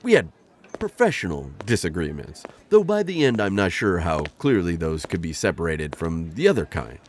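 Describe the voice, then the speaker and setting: An awkward alien bounty hunter with an insect's stiffness, deep and low-pitched, his pitch leaping about as he talks himself in circles. His manner stays formal and flustered in a roleplay audio drama. A faint hum of ambience sits behind him. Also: big pitch swings, moderate pace, a little mellow. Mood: wistful. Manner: formal, flustered, rambling and overexplaining.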